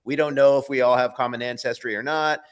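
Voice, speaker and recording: A man with a low voice, chatting on a podcast in a fast, offhand way that trails off at the end of his sentences. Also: steady tone, clear, resonant tone. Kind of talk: casual conversational podcast chat.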